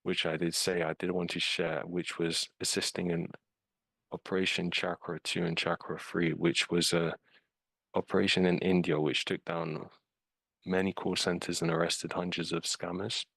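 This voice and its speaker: A man's voice: deep voice, level tone, normal speed, creaky, fairly bright tone, no room sound. A man with a British accent, a scam investigator casually walking through a case in a webinar over a video call, loose with fillers. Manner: informal, uses fillers like "um" and "like". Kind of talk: casual walkthrough of a case with slides.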